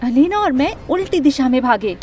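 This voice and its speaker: A woman narrating a scripted first-person animated story in a dramatic storytelling manner. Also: sits high, normal speed. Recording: music under the voice.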